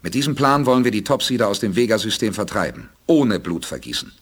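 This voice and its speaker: A husky, commanding spaceship captain in an eighties sci-fi radio play. He briefs his crew calmly and precisely, with lively inflection. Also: on the low side, quick pace. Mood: calm authority. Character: resolute, calm male spaceship commander, idealistic planner. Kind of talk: German 1980s radio play acting.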